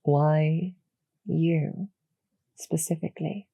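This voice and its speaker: Woman doing a demon succubus lady, calm n knowing, breathy gravelly low voice, really slow and softly persuasive, intimate roleplay audio. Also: no room sound, heavily acted. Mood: knowing, gentle. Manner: measured, deliberate, softly persuasive. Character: calm, knowing demoness succubus archer, former hero, loyal to her queen.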